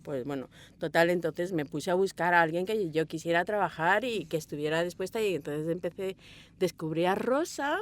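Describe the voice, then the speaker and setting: Woman, Spain accent, slightly low pitch. Interviewee, conversational, digressive storyteller who slips into quoted dialogue. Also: lively intonation, moderate pace. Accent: Spanish (Spain). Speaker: audio fiction director being interviewed. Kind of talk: casual interview chat. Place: small recording booth.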